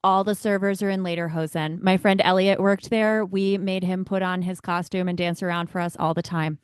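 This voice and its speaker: A woman's voice: on the low side, steady tone, a little fast, clear, resonant tone, dry recording, studio quality. A woman co-hosting a podcast, chatting casually and giving her opinions with emphasis. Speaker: podcast co-host. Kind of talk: casual chat.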